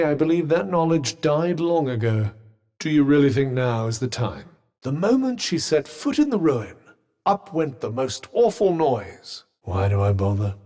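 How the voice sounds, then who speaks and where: A man's voice: medium pitch, a little mellow, big-room sound, natural voice. A man voicing a sardonic, witty male talking bracelet in a video game, with dry, teasing quips.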